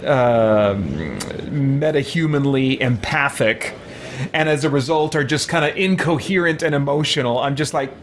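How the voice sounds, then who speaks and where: A man giving casual, musing let's play commentary in a halting, conversational way. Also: medium pitch, animated.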